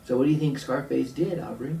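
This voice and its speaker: A man telling a story aloud to children, deliberate, with dramatic pauses and questions for the listener. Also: mid-range voice, creaky, mellow. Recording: roomy echo, distant mic, faint noise.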